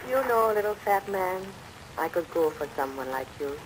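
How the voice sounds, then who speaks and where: An actress playing a coy, flirtatious saloon singer and hostess in 1940s radio comedy style. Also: female voice, lowish voice, animated, normal speed, very noisy, lightly acted. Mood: coy, seductive. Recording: old radio recording under rain.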